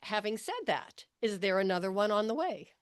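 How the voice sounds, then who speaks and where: A woman hosts a podcast interview in a casual, chatty way. Her voice sits at a medium pitch, and she keeps her guest going with frequent short affirmations. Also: animated, brisk. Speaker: podcast host.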